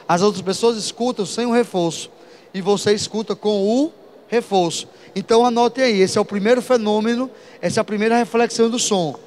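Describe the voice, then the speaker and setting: A man teaching an exam-prep physics lesson, talking straight to his students with lively asides. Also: high voice, fairly quick, slight background noise.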